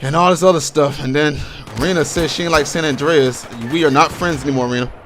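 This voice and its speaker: A man's voice: on the high side, steady tone, brisk, fairly bright tone. An American man from Baltimore giving casual, rambling game commentary in an informal, slangy and opinionated way. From Baltimore, USA. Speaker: gaming commentator. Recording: home voice-over with clear background noise, gunfire. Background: video game gunfire and explosions.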